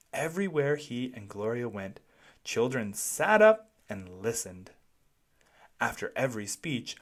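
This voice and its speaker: A read-aloud narrator telling a children's storybook expressively, with deliberate, dramatic phrasing. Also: mid-range voice.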